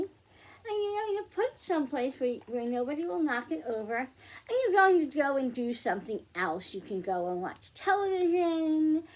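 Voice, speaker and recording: Woman, higher-pitched voice, children's storytime host giving simple, patient hands-on instructions. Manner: instructional, patient step-by-step, simple repeated phrasing. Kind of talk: simple hands-on science demonstration for young children.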